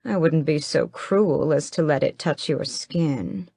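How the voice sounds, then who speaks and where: A woman's voice: sits low, vocal fry, dark tone, breathy edge, no echo, clean studio sound, heavily acted. A woman voicing a languid, sinister male vampire who drawls and mocks his captive. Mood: mocking. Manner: drawling, mocking, unhurried.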